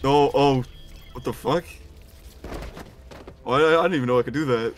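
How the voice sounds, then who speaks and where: A man's voice: mid-range voice, moderate pace, soft background music, natural voice. A man voicing a stubborn, bumbling game character in a casual, hesitant, improvised fan dub. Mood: smug, casual.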